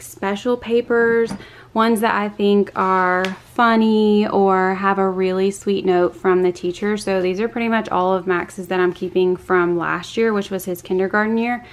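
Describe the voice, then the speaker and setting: Woman, thirties, chatty voice-over, confiding home vlog talk. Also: mid-range voice. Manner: chatty, confiding, says y'all. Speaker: vlogger. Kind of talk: casual voice-over chat.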